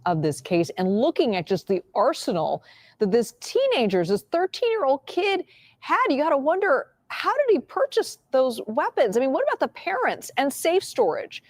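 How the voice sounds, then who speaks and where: Female TV news anchor, high-pitched, expressive intonation, broadcast questioning style. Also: moderate pace, dry recording.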